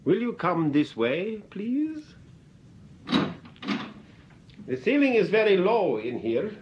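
A slow, formal shop attendant. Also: male voice, sits high, huge pitch swings, a little mellow, lo-fi sound, a little hiss or hum, full character voice. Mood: guarded. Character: courteous, guarded shop attendant. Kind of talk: 1940s radio drama acting.

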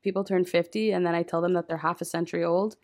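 A woman's voice: fairly low pitch, fairly even intonation, quick pace, darkish tone, no echo, clean studio sound. A young woman in her twenties chatting with friends, teasing and explaining her point. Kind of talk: casual chat. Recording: crowd noise behind.

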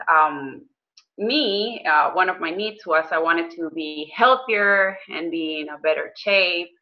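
Earnest woman with a muffled sound. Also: fairly low pitch. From Venezuela. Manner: earnest, hesitant with frequent ums and restarts. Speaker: guest, health coach and business owner. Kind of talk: conversational interview.

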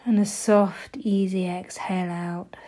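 Female meditation guide, mellow and breathy, with an even, barely moving pitch and soothing, gentle instruction. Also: measured pace. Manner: soft, gentle delivery, often breathy.

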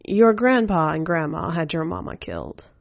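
A woman voicing a sly, coaxing female skeleton spirit in an audio story, in a hushed manner. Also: deep voice, wildly swooping pitch, moderate pace, creaky, no echo, lo-fi sound, exaggerated voice. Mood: frank.

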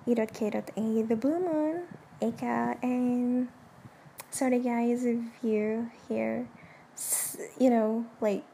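A young Indonesian woman whose first language is Indonesian hosts her own podcast in a soft, hushed, slow way, talking casually from her bed late at night with a fan running in the room. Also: on the high side, fairly even intonation, creaky. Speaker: podcast host. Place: dark bedroom at night with an electric fan running. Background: electric fan hum.

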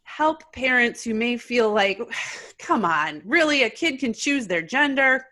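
A woman's voice: fairly high pitch, very animated, measured pace, pushed and bright. A woman, hosting an interview and asking thoughtful questions for parents. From rural Wisconsin, US Midwest. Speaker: podcast host. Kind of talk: conversational interview.

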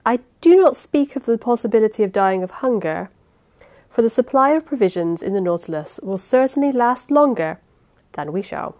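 A woman voicing a calm, cold male sea captain who speaks in a measured, lecturing way. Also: fairly high pitch, lightly acted.

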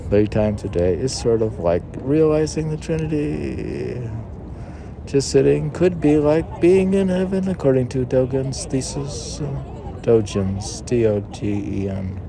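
Gravelly, slow-paced man reading poetry outdoors. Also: medium pitch. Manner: halting, spells out words, reads aphorisms line by line. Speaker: poet reading own work. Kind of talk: spoken poetry reading with casual asides. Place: outdoors on a city museum lawn. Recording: outdoor recording with clear urban noise.

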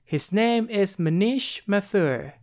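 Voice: mid-range voice, lively intonation, slow pace, a little mellow. A podcast host reading a rehearsed, upbeat introduction and then interviewing a guest.

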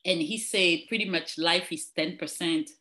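Bright-voiced woman from Cameroon. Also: on the low side, normal speed. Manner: warm, laughs readily, explains plainly. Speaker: interview guest, nurse practitioner. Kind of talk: casual interview chat.

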